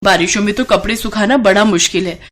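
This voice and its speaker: A woman's voice: medium pitch, lightly acted. A woman playing a busy, scolding but caring young daughter-in-law and mother in a family moral story. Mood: brisk, exasperated. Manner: brisk, fussing. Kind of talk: acted moral-story dialogue.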